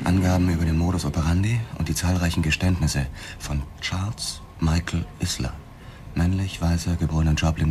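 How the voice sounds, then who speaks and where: Curt cop in a radio play, low voice, clipped and pushy, noisy background. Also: male voice. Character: driven male police detective, curt and insistent. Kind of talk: German radio drama acting. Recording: clear background noise.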